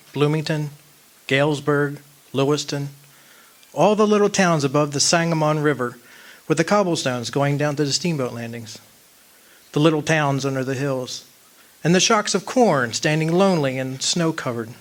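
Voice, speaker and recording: A man playing a wistful old soul in a radio drama, remembering his lost love in measured, poetic, solemn narration. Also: a little high, close to an everyday voice. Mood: wistful, nostalgic. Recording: music behind the voice.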